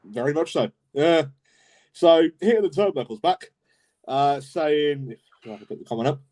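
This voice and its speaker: A man's voice: a little high, normal speed, mellow. A British man hosting a podcast in casual chat, laid-back, laughing often and filling his talk with "like". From United Kingdom. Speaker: podcast host.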